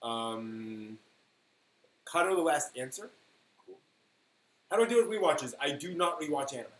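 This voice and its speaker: A man hosting a live stream in casual, rambling chat, thinking aloud haltingly and leaning on key words. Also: on the high side, expressive. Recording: echoey room with faint noise.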